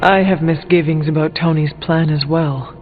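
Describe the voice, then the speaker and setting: An actor playing an earnest warrior hero in a video game, talking in a formal, careful way. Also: male voice, fairly high pitch, fairly even intonation, normal speed, close to an everyday voice. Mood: sympathetic. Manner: formal, careful, avoids contractions. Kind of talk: video game character dialogue. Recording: muffled phone-like band, music behind.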